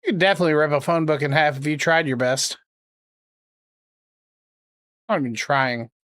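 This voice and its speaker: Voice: mid-range voice, fast, a bit bright. A prank caller and streamer chatting casually, with a sarcastic, crude, rambling way of talking. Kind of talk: casual livestream chat and prank calling.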